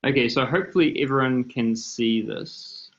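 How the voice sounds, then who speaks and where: A man's voice: mid-range voice, moderate pace, lo-fi sound. A man with a New Zealand accent, a company founder presenting on a video call panel, explaining steadily with frequent ums and uhs. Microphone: computer mic on a video call.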